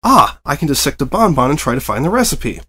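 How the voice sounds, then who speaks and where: A man playing an uptight reporter pony who talks a bit higher than normal and keeps getting exasperated. His delivery is fussy and tense, and the pitch bounces around a lot. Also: very animated, fast talker, pushed and bright, husky, heavily acted. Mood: flustered. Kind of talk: fan fiction reading.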